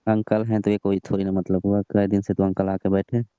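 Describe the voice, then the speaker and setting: Casting: male actor for jealous, sulky young lover in a call drama, dark monotone voice, complaining, dry lo-fi sound. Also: lowish voice, fairly quick, clean tone, dry recording, natural voice. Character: sulking, jealous young lover of a married woman. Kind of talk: scripted prank-style phone call drama in colloquial Hindi. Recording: dull lo-fi phone call recording.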